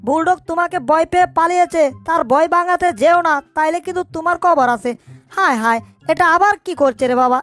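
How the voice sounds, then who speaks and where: Woman voicing a high-pitched bossy little mouse. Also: fast, a bit bright, no echo. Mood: scolding, scheming. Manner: scolding, self-narrating. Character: small, crafty, bossy, busybody cartoon mouse. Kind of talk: cartoon dub in Bangla.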